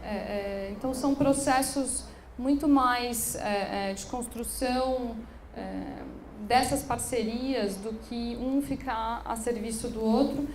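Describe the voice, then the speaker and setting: A woman's voice: fairly high pitch, takes it slowly. A woman from São giving a public talk as a curator, speaking hesitantly with many restarts and fillers. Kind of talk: public lecture. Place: room in an occupied building. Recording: echoey room, faint background noise.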